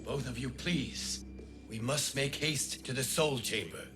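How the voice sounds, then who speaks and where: An actor playing an earnest warrior god and peacemaker in a video game, speaking in a measured, courteous and formal way. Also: male voice, mid-range voice, natural voice. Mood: pleading. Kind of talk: video game cutscene acting. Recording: voice under loud game music.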